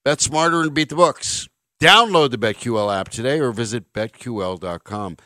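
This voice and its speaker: A man's voice: mid-range voice, animated, normal speed, pushed and bright, no echo. A man hosting a live sports talk radio show from a studio, joking and sarcastic as he runs the segment. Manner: wisecracking, upbeat and sarcastic. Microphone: studio microphone.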